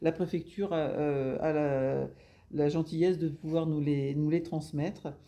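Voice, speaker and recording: A woman's voice: sits low, fairly even intonation, unhurried, mellow. A woman explaining her public service work in interview answers, with formal administrative phrasing.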